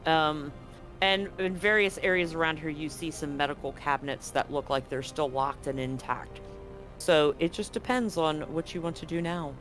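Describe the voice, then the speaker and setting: Brief: game master, male, slightly high voice, easygoing narration over faint music. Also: moderate pace, a bit bright, rough recording. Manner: casual, with fillers, laughs at the table. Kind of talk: narrating a tabletop roleplaying game.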